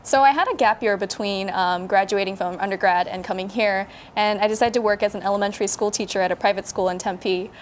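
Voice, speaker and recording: A young American woman talks quickly in a medium-pitched voice. Her style is that of a casual student interviewer, recorded with some ambient noise around her. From Arizona, United States. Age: 20s.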